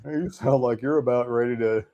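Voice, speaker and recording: A man in his forties with a deep voice, chatting casually as a guest on a remote call, rambling and correcting himself as he goes. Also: normal speed, mellow, muffled recording. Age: 40s. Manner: rambling, self-correcting, easygoing. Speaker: guest bowling ball reviewer. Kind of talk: casual chat.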